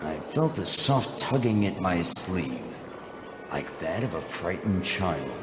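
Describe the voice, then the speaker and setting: An actor playing a weary, cynical, wry private eye in a deadpan radio noir parody. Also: male voice, sits low, slow pace, reverberant, background noise, exaggerated voice.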